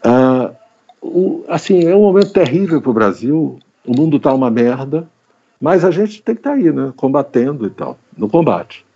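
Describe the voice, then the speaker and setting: A man with a mellow, darker voice talks casually as a guest, looping back over his words and leaning on fillers. The sound is a bit dull, as if carried over a video call. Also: mid-range voice, big pitch swings, moderate pace, husky. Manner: rambling, repeats words with many fillers. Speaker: guest, writer and journalist. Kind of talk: casual interview chat. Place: home during pandemic.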